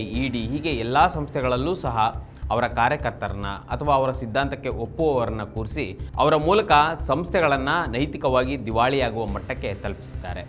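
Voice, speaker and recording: A man's voice: medium pitch, a bit bright. A man from Karnataka presenting a scripted political news explainer, formal and expository, addressing viewers directly. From Karnataka, India.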